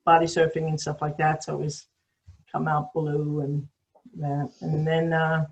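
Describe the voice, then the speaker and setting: A woman's voice: deep voice, completely flat delivery, measured pace, darkish tone, lo-fi sound. An older woman from New York City with a New York accent, telling her story as a guest on a call, with many ums and restarts. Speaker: guest swimmer. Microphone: video call.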